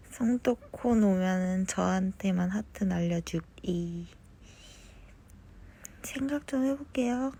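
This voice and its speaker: A young woman chatting casually on a phone livestream, reading fan comments and answering them softly, at times slipping into whispers. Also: mid-range voice, slightly rough. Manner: slow, soft-spoken, drifts into whispers. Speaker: idol livestreaming to fans.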